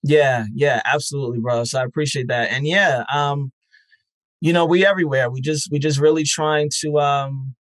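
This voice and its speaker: A man's voice: a little high, fairly even intonation, moderate pace, no room sound. A man speaking as a guest, a festival founder and life coach, earnest and encouraging, with frequent ums. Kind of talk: casual interview chat.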